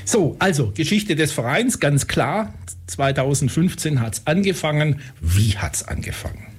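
Radio host, man, mid pitch, husky, easygoing, loosely phrased questions. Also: a little slow. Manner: relaxed, loosely worded questions. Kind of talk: radio interview.

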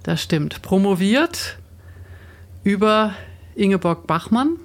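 Radiomoderatorin mit mittlerer Stimmlage, tastend formulierend. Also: female voice, medium pitch, animated, slow. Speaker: radio host interviewing a studio guest. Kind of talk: radio interview, semi-spontaneous moderation. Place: radio studio. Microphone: studio microphone.

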